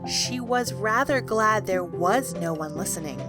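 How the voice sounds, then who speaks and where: A woman's voice: medium pitch, animated, a little slow. A woman giving scripted storybook narration as the narrator of an audio drama. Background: music.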